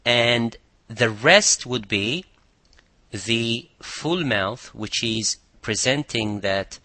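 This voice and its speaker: A man hosting a lesson, explaining pronunciation points in a deliberate, instructive way and stressing key words. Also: medium pitch, animated, sharp tone, dry recording. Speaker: podcast host and Quran recitation teacher.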